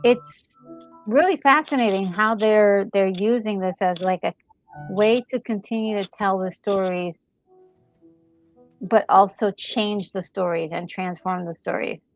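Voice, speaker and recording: A woman's voice: medium pitch, unhurried, faint music behind, low-quality recording. A woman in casual, reflective chat as a gaming co-host, hesitating and repeating words as she gathers her thoughts. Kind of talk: casual chat reviewing a game story.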